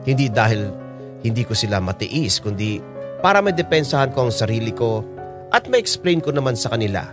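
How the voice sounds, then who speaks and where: A man's voice: mid-range voice, sing-song, brisk, music in the background, lightly acted. A man acting as a hurt young storyteller, narrating a radio drama earnestly and reflectively with a Filipino accent.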